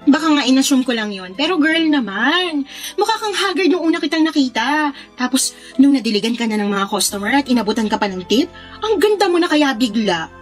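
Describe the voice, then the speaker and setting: A woman's voice: sits high, big pitch swings, brisk, faint music behind. A woman playing a brazen, persuasive young friend in a Filipino radio drama, with a slangy, cajoling delivery. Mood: persuasive, teasing.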